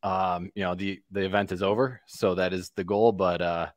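Low-voiced, easygoing man on a headset. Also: moderate pace, no echo, highs cut off. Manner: relaxed, slightly hesitant. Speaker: guest panelist. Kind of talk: casual chat. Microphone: headset on video call.

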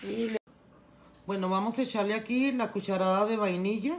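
A middle-aged woman explaining a recipe step by step in her home kitchen, unhurried and plain, with frequent restarts. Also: mid-range voice. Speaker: home cooking channel host. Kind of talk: casual cooking tutorial.

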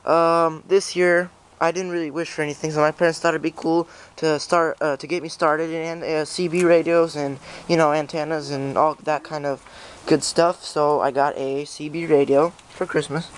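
Teenage boy, higher-pitched and even-toned. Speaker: YouTube channel host. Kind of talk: casual handheld walkthrough vlog. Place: backyard, then inside a small unheated shed. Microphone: handheld camera's built-in mic. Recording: handheld camera with clear background noise.

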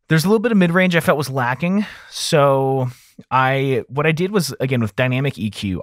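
A man's voice: fairly low pitch, no echo, clean studio sound. A man explaining audio mixing in a casual tutorial style, informal and thinking aloud as he goes.

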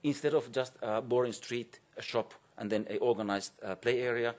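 A middle-aged man giving an academic keynote lecture in a halting, hesitant way, often repeating words and pausing. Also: mid-range voice, fairly even intonation, darkish tone. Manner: halting and hesitant, frequent repetitions and pauses. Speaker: keynote speaker. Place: indoor conference auditorium, on stage.

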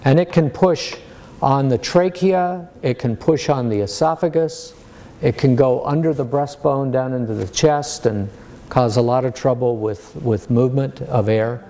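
An American man in his sixties, a surgeon explaining medicine to a lay audience in a measured, plain-spoken way. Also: mid-range voice, dark tone. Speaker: surgeon giving a public lecture. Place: medium-sized lecture room.

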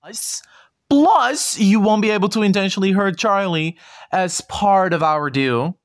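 A man's voice: sits high, measured pace. A man voicing an exasperated, short-tempered male king of hell in a fan comic dub, whining and snapping. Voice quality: exasperated, whiny.

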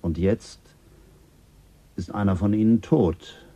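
A dark, husky man questions pressingly and firmly in a lowish voice. He sounds insistent, a radio play interrogator. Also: slow pace. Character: probing male interrogator, Lloyd.

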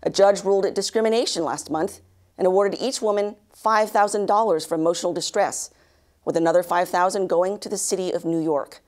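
A woman in her thirties anchoring a news bulletin, reading a script with measured, even delivery in a studio. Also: fairly low pitch. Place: studio news set, indoors.

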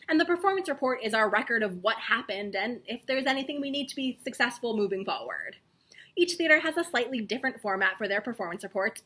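A young woman hosts an explainer video in a presentational manner. Her voice sits at a medium pitch. She delivers prepared lines to camera in a small indoor room. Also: pushed and bright, slightly rough. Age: young adult. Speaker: video series host, theater stage manager.

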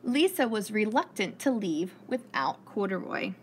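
A woman in her thirties reading aloud as a preschool teacher in a classroom, encouraging and deliberate, asking questions. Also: medium pitch, big pitch swings. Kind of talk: children's read-aloud with questions. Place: indoors, school classroom. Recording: faint room noise.

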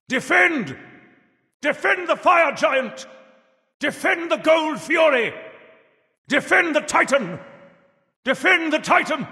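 A man's voice: very high voice, sharp tone, full character voice. An actor playing a jovial, grandfatherly old Father Time in a video game, theatrical, emphatic and folksy. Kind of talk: video game character voice lines. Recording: long reverberant echo.

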